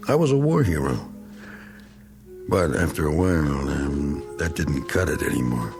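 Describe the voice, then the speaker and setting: An actor playing a bitter, self-pitying middle-aged American war veteran in a radio drama, talking in a folksy, rambling, needling way. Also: male voice, extremely low pitch, lively intonation, moderate pace, music in the background. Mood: nostalgic, self-pitying.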